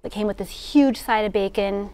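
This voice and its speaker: A woman's voice: medium pitch, a little fast, a little mellow. A woman, a guest reviewer, cheerfully describing her meal. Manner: cheerful, emphatic.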